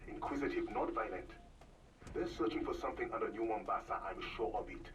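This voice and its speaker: Optimistic middle-aged father and scientist, played by a man: quick, earnest, heard from afar through noise. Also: on the low side, animated, natural voice. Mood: excited, earnest. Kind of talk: dramatic game audio drama acting. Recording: distant, noisy kiosk link.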